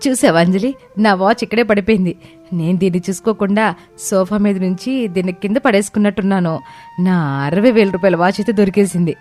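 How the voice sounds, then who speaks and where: A woman's voice: mid-range voice, fairly quick, a little mellow, faint music behind, close to an everyday voice. A woman voicing an emotional, exclamatory and pleading younger sister-in-law in a family story. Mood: excited relief.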